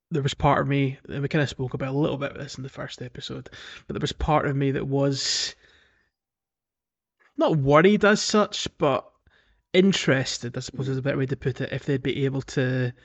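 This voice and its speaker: A man's voice: medium pitch, fairly bright tone, highs cut off. A man co-hosting a podcast in casual chat, talking in a discursive, self-qualifying way. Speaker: podcast host.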